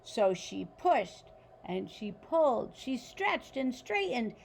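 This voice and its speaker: A woman reads a children's storybook aloud in a slightly high voice. She is expressive and takes her time, lingering over phrases like a teacher with a class. There is some creak in her tone and faint noise behind her. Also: poor audio. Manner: animated, deliberate, pauses to ask listeners questions. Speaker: teacher reading a picture book aloud to children.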